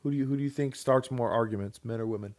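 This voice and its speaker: A man's voice: medium pitch, fast talker, darkish tone. Casual man hosting a podcast, dryly joking. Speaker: podcast host.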